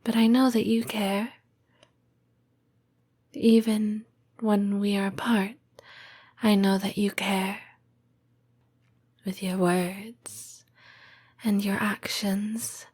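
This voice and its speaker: A woman acting as a lonely, tender snake-woman girlfriend in an intimate audio roleplay. Her voice is breathy and often sinks into a whisper. She speaks extremely slowly, pausing hesitantly, with very little rise and fall in pitch. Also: level tone, darkish tone. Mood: lonely, gentle. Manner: slow, hesitant, intimate delivery with long pauses. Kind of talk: soft-spoken ASMR roleplay.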